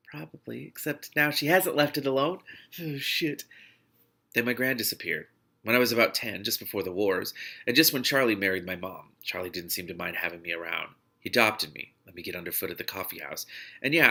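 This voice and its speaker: Bright-voiced man reading a novel aloud. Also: fairly low pitch, a little fast, creaky, slightly rough. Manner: animated, informal, breaks off to comment. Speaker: reader and host of a read-along series. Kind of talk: expressive read-aloud of a novel with off-the-cuff reactions.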